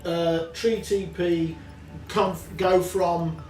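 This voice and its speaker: A man hosting a conversational interview, summing up points and sometimes starting sentences haltingly. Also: high-pitched, little pitch movement, darkish tone. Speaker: interview host. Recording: roomy, distant mic, faint music behind.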